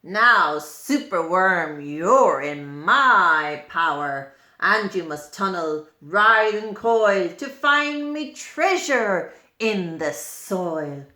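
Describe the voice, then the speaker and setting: A woman voicing a cross, gloating male lizard wizard in a children's storybook, slow, emphatic and commanding. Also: on the low side, lively intonation, sharp tone, voice pushed down, exaggerated voice. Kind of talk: children's storybook read-aloud. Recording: roomy, echoing room.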